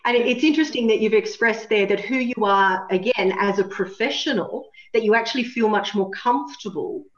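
A woman host leads a session with slow, reflective questions and leans on certain words for emphasis. Her voice carries a roomy echo, and the call audio sounds muffled. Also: mid-range voice. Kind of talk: coaching talk. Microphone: video call. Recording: echoey, dull room sound.

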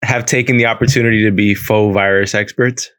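Young male co-host, low-pitched, dry close sound, jokey. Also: fairly even intonation, fairly quick, fairly bright tone, dry recording. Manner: teasing, joking banter. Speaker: podcast co-host. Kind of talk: casual chat. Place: home, on a video call.

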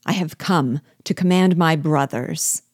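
A woman voicing a male rebel chief in an audiobook, loud and terse with commanding authority. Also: deep voice, unhurried, slightly rough. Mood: proud. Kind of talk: dramatic audiobook character reading.

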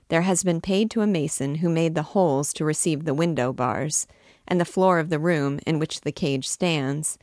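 A woman voicing a dutiful male clerk reading out costs in a measured, listing manner. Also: fairly high pitch, dry recording, studio quality, lightly acted.

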